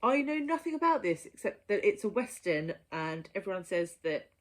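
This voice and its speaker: A woman's voice: medium pitch, very animated, moderate pace. A woman talking casually and playfully to viewers as a book vlogger, with an enthusiastic manner. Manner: playful, enthusiastic, given to giggling. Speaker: book vlogger presenting a reading list. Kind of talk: casual chatty monologue to viewers.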